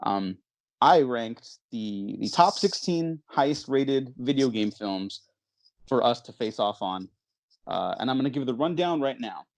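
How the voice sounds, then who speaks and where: A man hosts a movie podcast in a close, dry recording with an ordinary mid-range pitch. He is chatty and enthusiastic, rambling through his thoughts in an informal way. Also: animated, measured pace, no echo. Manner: chatty, rambling, enthusiastic, chuckles while talking. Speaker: podcast host. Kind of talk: casual movie podcast chat.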